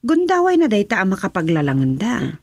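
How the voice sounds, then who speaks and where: An actress playing a teasing, refined governor's wife in an Ilocano radio drama, with a husky voice. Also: female voice, medium pitch, wildly swooping pitch, a little fast, a little mellow, no room sound, studio quality, exaggerated voice.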